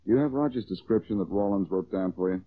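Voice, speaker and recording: A man's voice: on the low side, close to an everyday voice. A man playing a wisecracking, cocky amateur detective with sardonic retorts, in an American accent and vintage radio drama style. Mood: shrewd, deducing. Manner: sardonic, quick with dry retorts. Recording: dull, muffled old-time radio recording.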